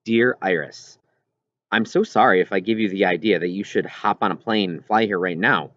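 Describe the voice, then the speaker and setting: Kindly low-voiced scientist, gently explaining read by a man. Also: moderate pace, no echo. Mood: apologetic, gentle. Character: kindly adult whale researcher writing an apologetic email. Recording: dull, lo-fi recording.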